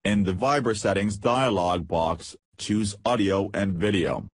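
A man reads a scripted step-by-step software tutorial in a measured, instructional manner. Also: on the low side, breathy edge, dry recording.

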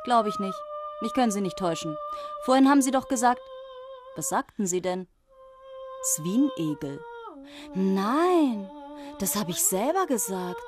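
A woman's voice: on the high side, huge pitch swings, a little slow, pauses often, over music. An actress playing a brash, coarse woman who mocks a newcomer in a radio play. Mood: mocking. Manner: mocking, coarse, shifting to soothing. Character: brash coarse woman inmate, long-time resident. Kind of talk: 1970s radio play acting.

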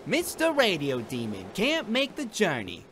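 An actor playing a brash, foul-mouthed, sardonic demon gangster in a comic dub. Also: male voice, high-pitched, huge pitch swings, measured pace, background noise, heavily acted. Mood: sneering, annoyed. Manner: sneering, slangy, swaggering. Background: music.

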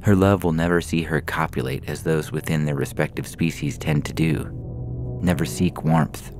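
A man's voice: rumbling low, steady tone, moderate pace, music in the background. A man reading a science fiction story aloud as a scripted narration.

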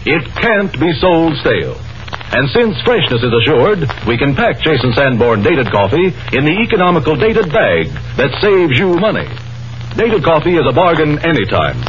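A man's voice: a little high, sing-song, moderate pace. An American man working as a radio announcer, reading a 1930s commercial in an emphatic, persuasive salesman delivery. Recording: muffled old 1930s radio broadcast.

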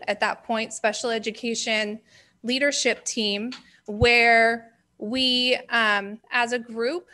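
A woman's voice: a little high, a bit bright. A woman, a special education director, giving a formal report at a school board meeting in a strong, projecting voice.

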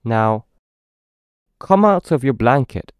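A man's voice: mid-range voice, animated, takes it slowly, smooth, no room sound, studio quality. A man with a British accent reading a children's story aloud, expressively, as if to a young child. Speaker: storybook narrator.

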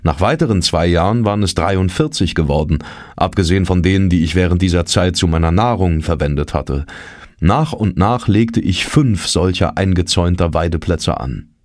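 A man reading a literary audiobook as scripted first-person narration, in measured, careful phrases. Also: deep voice, darkish tone, dry recording, clean studio sound.

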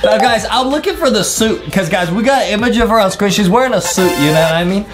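Excitable young man, very animated. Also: sits high, fast talker. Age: young adult. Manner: excitable, repetitive, teasing. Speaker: video host. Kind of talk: hyped reaction commentary and banter. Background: music.

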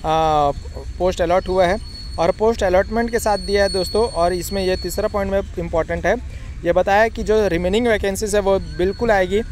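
A young man with a fairly high voice runs through an exam update in a brisk, chatty way, calling his viewers friends. He is recorded on a phone at arm's length outdoors, with faint street and vehicle noise underneath. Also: a little mellow, low-quality recording. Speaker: exam coaching channel host. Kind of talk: fast informal exam-update explainer. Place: outdoors beside a railway track.

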